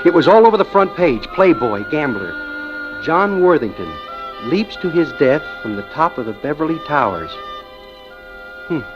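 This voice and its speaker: A man's voice: a little high, sing-song, moderate pace. An actor with an American accent playing a smitten, obsessive bank clerk who narrates his own story in a 1940s radio drama. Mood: brooding, confiding. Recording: muffled old radio recording with music.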